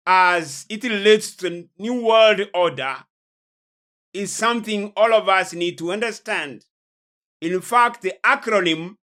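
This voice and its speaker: A man preaching in a lecture style, deliberate and emphatic, pausing and repeating phrases for weight. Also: high-pitched, sharp tone. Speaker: preacher, host of a religious programme. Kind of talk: sermon-like lecture on prophecy and world events.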